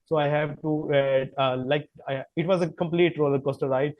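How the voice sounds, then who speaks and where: A man with a Pakistani accent, a Punjabi speaker, answering podcast interview questions as a chemical engineer, talking haltingly with many fillers and restarts. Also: fairly high pitch, steady tone, no echo, phone-quality sound.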